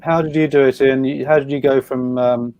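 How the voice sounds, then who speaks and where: A man's voice: mid-range voice, steady tone, fairly quick, a little mellow. A man chairing an online panel, reading out questions from the audience in a hesitant way with lots of fillers. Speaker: event chair. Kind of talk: moderating a panel discussion.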